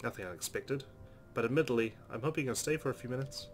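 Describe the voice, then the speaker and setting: A man reading first-person visual novel narration aloud as a let's player, in an earnest, expressive storytelling style. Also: medium pitch, quick pace. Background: soft game music.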